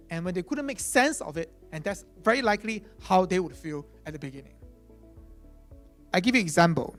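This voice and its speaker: A man whose first language is Chinese, giving a conference talk as chief product officer, speaking conversationally with many "uh" fillers and "right?" tags. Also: high-pitched.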